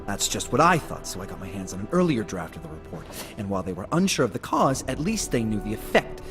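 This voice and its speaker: An actor playing a wry, dogged forensic investigator in a video game, talking in a chatty, emphatic way. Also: male voice, fairly low pitch, very animated, fast talker. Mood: incredulous, explaining. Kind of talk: cinematic video game acting. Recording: game soundtrack music behind.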